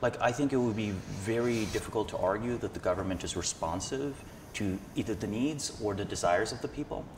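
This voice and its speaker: A man's voice: fairly low pitch, brisk. A man around thirty, explaining his ideas in a thoughtful, analytical, conversational way as an interview guest. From Slovenia. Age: 30s. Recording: echoey hall with background noise.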